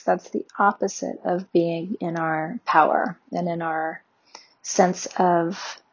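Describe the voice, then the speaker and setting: A woman leading a guided meditation with slow, soothing, gentle instructions. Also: on the low side, vocal fry, slightly rough, dull audio.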